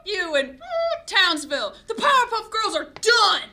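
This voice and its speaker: A woman's voice: very high voice, lively intonation, unhurried, sharp tone, full character voice. A woman playing a tough, blunt young superhero with a short temper in a comedy script table read. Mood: furious.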